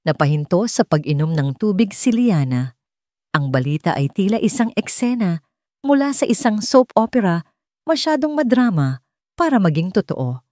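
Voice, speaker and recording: A woman's voice: medium pitch, big pitch swings, moderate pace, dark tone, dry recording, clean studio sound. A woman with a Filipino accent reading a dramatic scripted story as a narrator.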